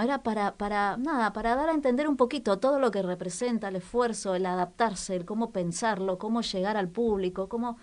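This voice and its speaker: A woman hosting a casual radio chat, conversational and a little halting, often putting questions to the listener. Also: medium pitch, darkish tone. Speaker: radio show host.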